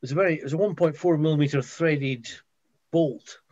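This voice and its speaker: Halting man on a dull, dry call. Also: on the high side, darkish tone, no room sound. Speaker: participant in a hobby group chat. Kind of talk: casual technical explanation. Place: indoors, on a group video call. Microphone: video call. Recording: dull, muffled video call audio.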